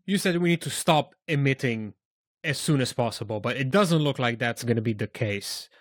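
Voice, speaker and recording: A man's voice: mid-range voice, lively intonation, normal speed, no room sound, clean studio sound. A man from Slovenia interviewing a guest, asking questions in a personal, conversational way.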